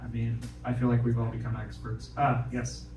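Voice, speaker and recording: A man hosting a live talk, moderating questions in a relaxed, halting way. He sounds distant in an echoing room. Constant noise runs under him. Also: mid-range voice. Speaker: event host. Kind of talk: casual moderating. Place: bar venue stage, roomy. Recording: echoey venue from far off, noisy.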